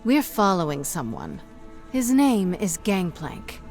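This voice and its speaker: Blunt, unhurried sardonic adventurer. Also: female voice, on the low side, huge pitch swings, darkish tone, soft background music, exaggerated voice. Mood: disbelieving. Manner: sarcastic, blunt. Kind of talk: video game character acting.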